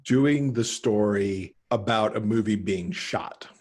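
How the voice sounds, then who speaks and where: A man's voice: on the low side, takes it slowly, darkish tone. A middle-aged man, a guest author, talking casually and a little wryly on a video call. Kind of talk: casual chat. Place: indoor room.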